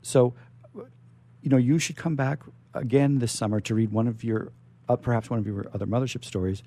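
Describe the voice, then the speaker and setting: A man hosting a live radio interview, chatting in a halting way and often repeating words as he goes. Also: medium pitch, steady tone, dark tone. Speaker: radio show host.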